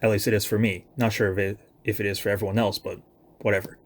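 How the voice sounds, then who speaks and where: Low-voiced young man, self-deprecating vlogger. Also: level tone, quick pace. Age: young adult. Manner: self-deprecating, hedging asides. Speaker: amateur writer announcing own projects. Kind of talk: casual vlog monologue, loosely planned.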